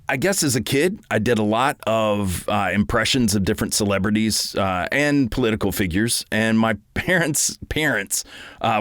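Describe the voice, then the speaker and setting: A middle-aged man and voice actor, talking casually from his home recording booth, relaxed and rambling with many ums and restarts. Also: on the low side, no echo, studio quality. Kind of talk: casual talk to camera.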